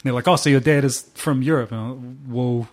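Kiwi guy chatting in an interview, casual, laughs a lot, mid-pitched voice. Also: fairly quick. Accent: New Zealand. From New Zealand. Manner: relaxed, laughs often, slangy storytelling. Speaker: musician guest.

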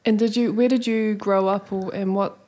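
A woman's voice: medium pitch, fairly even intonation, moderate pace, dark tone. A woman interviewing a guest, often rephrasing her questions as she goes.

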